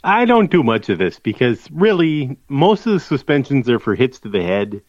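Dry deadpan man on dull lo-fi audio. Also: medium pitch, normal speed, no echo. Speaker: podcast co-host. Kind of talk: casual sports chat.

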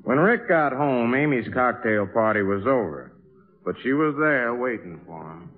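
An actor with an American accent playing a somber narrator recounting a friend's tragedy in a 1950s radio drama. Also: male voice, medium pitch, lively intonation, normal speed, close to an everyday voice. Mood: somber, reflective. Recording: muffled vintage radio, music behind.

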